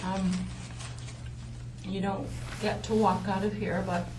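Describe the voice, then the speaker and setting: A woman questioning a suspect in a patient, steady, persuasive way, recorded in a police interview room. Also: mid-range voice, slow pace. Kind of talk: calm interrogation. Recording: roomy, distant interview-room audio with noise.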